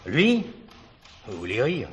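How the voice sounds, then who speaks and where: An actor playing an authoritative, composed gendarmerie captain in a television drama, with a clipped, formal, official manner. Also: male voice, medium pitch, huge pitch swings, takes it slowly, fairly bright tone, exaggerated voice. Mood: matter-of-fact. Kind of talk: 1970s French television drama acting. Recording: noisy old drama recording.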